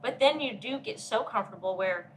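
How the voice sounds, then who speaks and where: A woman's voice: fairly high pitch, expressive, brisk, distant mic. A woman co-host who talks candidly and chattily and laughs easily. She sits a little back from the mic, with a faint hiss of noise under her voice. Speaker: podcast co-host. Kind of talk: casual conversation.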